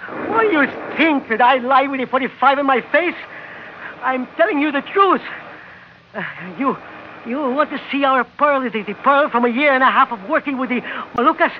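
Casting: nervous, shifty radio-drama villain, stammering and defensive, very high for a man, muffled hissy recording. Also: normal speed. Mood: defensive pleading. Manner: stammering, defensive, non-native grammar. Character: shifty, cornered villain bluffing nervously at gunpoint. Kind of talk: old-time radio drama acting.

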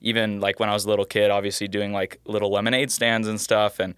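A man's voice: on the low side, level tone, quick pace, a bit bright, smooth, no echo, clean studio sound. A young man from Hawaii, a startup co-founder, telling stories of his early ventures in an upbeat, anecdotal way, a little jet-lagged. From Oahu, Hawaii. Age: young adult. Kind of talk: casual interview answers.

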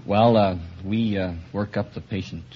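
Halting low-voiced young man, old radio. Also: steady tone. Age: young adult. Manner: halting, flustered, terse. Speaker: show contestant, hospital intern. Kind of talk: hesitant interview answers. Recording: muffled noisy old radio, audience. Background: audience and noise.

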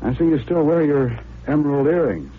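A man's voice: on the high side, expressive, a little fast, close to an everyday voice. A man playing a teasing, slyly insinuating playwright in an old-time radio mystery, with a wry, bantering manner. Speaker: actor in a radio drama. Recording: tinny, noisy old radio recording.

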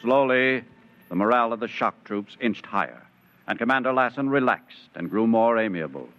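An actor narrating a science fiction story in a grave, dramatic 1950s radio style. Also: male voice, mid-range voice, lively intonation, normal speed, a bit bright. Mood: measured. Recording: dull old radio, music underneath.

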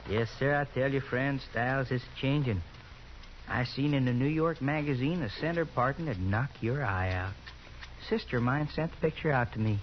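An actor playing a nervous, talkative frontier barber, fussy and eager, stammering when scared, on an old 1950s radio drama. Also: male voice, mid-range voice, normal speed, a little hiss or hum, lightly acted. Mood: chatty. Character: nervous chatty small-town barber. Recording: dull 1950s radio broadcast.

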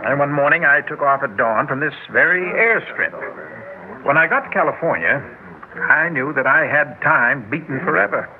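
Wealthy, driven tycoon: emphatic, animated delivery, classic radio drama through a thin narrow band. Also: male voice, on the high side, moderate pace. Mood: proud, assured. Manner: self-assured, genial, emphatic. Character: confident, obsessive wealthy male tycoon racing westward against time. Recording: noisy, narrow-band old radio recording.

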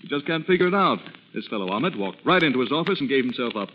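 An actor playing a jovial, teasing gentleman sleuth at ease, in 1940s radio drama style. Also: medium pitch, very animated, fast, close to an everyday voice. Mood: amused. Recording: muffled, hissy old radio broadcast.

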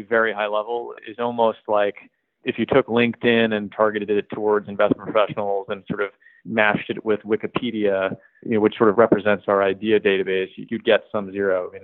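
A man being interviewed as a company's CEO, answering at a measured pace with lots of "sort of" fillers. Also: lowish voice, no room sound. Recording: tinny phone-like line.

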